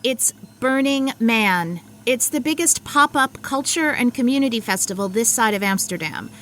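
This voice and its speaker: A woman's voice: on the high side, expressive, moderate pace, fairly bright tone. A woman playing a sensible female agency tech expert in a comedy audio drama, with a dry, matter-of-fact delivery. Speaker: Zelda.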